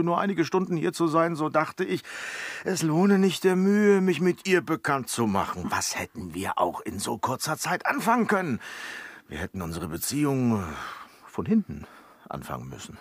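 An actor playing a cheeky, evasive manservant in a period comedy radio play, sly, playful and theatrical. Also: male voice, medium pitch, dry recording. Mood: roguish, flirting. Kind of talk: German radio play acting.

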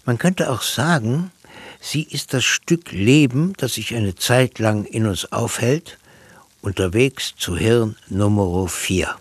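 Reflective thinker, low-pitched, unhurried, husky, dry close sound, radio play monologue. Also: male voice, no echo. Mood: pensive, questioning. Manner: measured, reflective, cerebral. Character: pensive man musing on mind, matter and dreams.

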